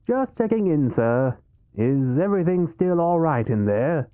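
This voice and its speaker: An actor playing an attentive, deferential butler in an audio drama, formal and polite, on a thin, muffled recording. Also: male voice, on the high side, sing-song, unhurried. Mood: polite concern. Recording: thin, phone-like muffled band.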